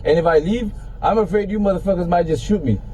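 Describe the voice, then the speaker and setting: A man's voice: high-pitched, fast talker, dark tone, slight background noise, low-quality recording. A man sitting in his parked car filming on his phone, arguing in an incredulous, confrontational way. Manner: argumentative, incredulous. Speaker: rideshare driver filming an encounter. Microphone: phone camera.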